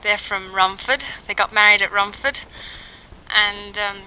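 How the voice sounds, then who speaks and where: A woman's voice: medium pitch, fairly even intonation, pushed and bright, slight background noise, poor audio. A woman in her thirties chatting casually and hesitantly, as in a spoken letter to family, on a 1960s home tape. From England. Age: 30s. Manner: informal, hesitant, pausing often with ums. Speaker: mother recording an audio letter to family. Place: at home, in a quiet house.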